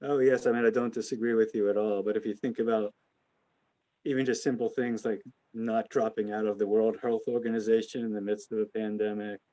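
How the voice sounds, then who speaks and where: A man hosting an investment conference call, speaking off the cuff in a halting, hedging, conversational way. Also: lowish voice, level tone, warm tone, low-quality recording. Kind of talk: unscripted conference call remarks.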